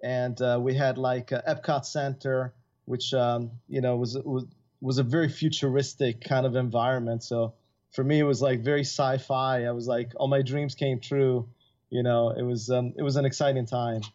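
A man's voice: mid-range voice, little pitch movement, moderate pace, a little mellow. A man who grew up in Italy, chatting as a guest composer in a casual interview, rambling with many fillers and restarts. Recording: dull lo-fi sound.

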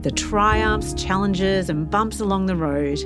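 A woman co-hosting an interview podcast, reading the intro in a measured way. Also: medium pitch. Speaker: podcast co-host. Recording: music bed behind voices.

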